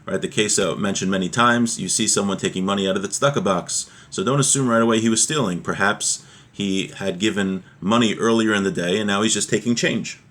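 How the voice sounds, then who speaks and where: A man's voice: on the low side, a little fast, a bit bright. A man teaching an informal class on religious law, explaining a text step by step with everyday examples.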